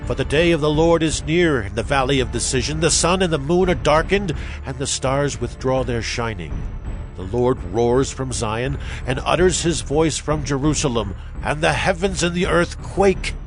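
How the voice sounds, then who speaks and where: An actor playing a solemn Hebrew prophet in a dramatized scripture reading, declaiming with grave emphasis. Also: male voice, medium pitch, lively intonation, normal speed, natural voice. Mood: urgent, commanding. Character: solemn male Hebrew prophet proclaiming judgment and promise. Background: music.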